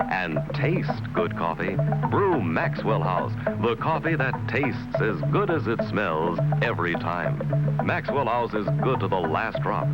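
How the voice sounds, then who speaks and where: A man with a lowish American voice delivers a vintage commercial pitch. His intonation swings widely, and loud music plays behind him on an old TV soundtrack. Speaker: commercial announcer. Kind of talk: vintage coffee commercial narration.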